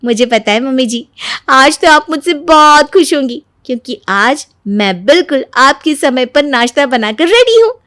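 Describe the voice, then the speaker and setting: A woman playing a sly young Indian daughter-in-law in an animated moral story, eager, insistent and full of mock innocence. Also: high-pitched, moderate pace, no echo, studio quality. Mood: eager, sugary.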